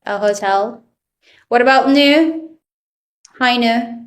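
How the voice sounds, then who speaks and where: A woman's voice: a little high, takes it slowly. A woman from the Philippines teaching an online English class to children, asking patient prompting questions over a video call.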